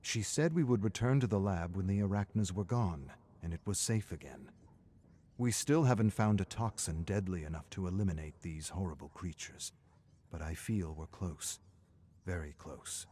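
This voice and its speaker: A weary scientist who has survived a monster invasion, logging his findings for a video game. His voice is on the low side, grave and measured, and his phrase endings sink into a hush. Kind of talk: scripted dramatic audio log narration.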